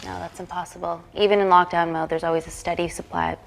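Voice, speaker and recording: A woman's voice: on the low side, little pitch movement, quick pace, gravelly, a little hiss or hum. An actress playing an earnest young woman scientist trapped in a lab, speaking urgently in a naturalistic dramatic style. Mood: determined. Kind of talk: naturalistic dramatic screen acting.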